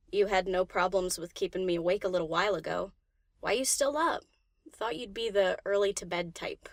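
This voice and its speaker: Woman playing a laid-back DJ pony mare, medium pitch. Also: moderate pace, long pauses, dry recording, clean studio sound, natural voice. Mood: teasing. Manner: casual, slangy, teasing. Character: laid-back, affectionate female DJ pony, playful and caring. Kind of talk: audiobook character acting.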